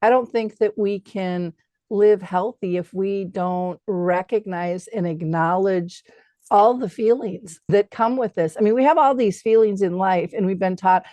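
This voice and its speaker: A woman's voice: medium pitch, a little slow, warm tone, no room sound, muffled recording. A woman hosting a conversational interview. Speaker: host.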